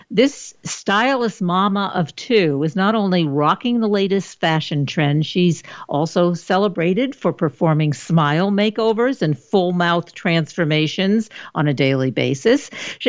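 A woman's voice: fairly low pitch, no echo, lo-fi sound. A woman hosting an interview, asking questions in a rambling, self-correcting way. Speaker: interview host. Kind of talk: interview conversation.

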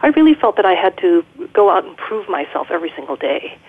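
Middle-aged woman, reflective interview guest, measured delivery, slightly husky, tinny phone-like line. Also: fairly low pitch, sing-song. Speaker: guest, leadership coach. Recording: muffled, band-limited phone-like line.

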